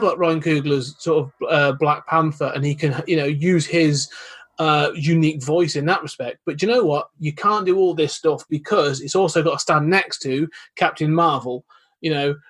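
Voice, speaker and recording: Husky-voiced man, thoughtful. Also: a little high, fairly bright tone. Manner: thoughtful, measured, hedging with "you know". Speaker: podcast guest. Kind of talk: casual chat.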